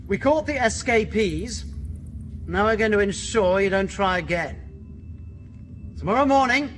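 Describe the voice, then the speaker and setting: An actor playing a bullying, officious prison officer in a period radio drama, commanding and clipped. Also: male voice, high-pitched, fairly bright tone. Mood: smug, threatening. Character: harsh British prison officer, bullying, self-serving, officious.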